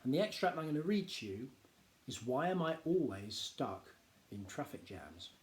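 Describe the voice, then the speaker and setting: A man reading a popular non-fiction book aloud like a teacher, explaining in a measured, expressive way. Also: medium pitch. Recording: echoey, roomy sound.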